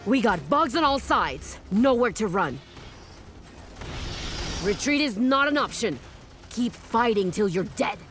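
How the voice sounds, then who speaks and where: A tough, battle-hardened colonel in a sci-fi war game. Her delivery is clipped and commanding, with lively swings in pitch, over a music bed. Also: a little slow, long pauses. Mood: fierce, urgent. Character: tough, battle-hardened female colonel leading infantry against alien bugs. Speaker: military field officer in a strategy game. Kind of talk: video game cutscene acting.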